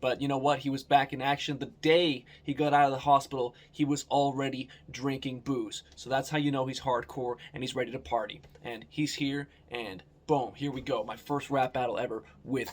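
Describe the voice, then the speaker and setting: A man's voice: medium pitch, level tone, normal speed, few pauses. An American man narrating his own vlog in a casual, candid way, swearing now and then. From United States. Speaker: vlogger.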